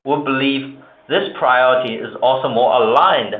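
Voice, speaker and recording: A man speaking formally and in a measured way as a company executive on an earnings call. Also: mid-range voice. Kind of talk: earnings call remarks and answers. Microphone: conference call line. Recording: roomy, narrow phone-like conference line.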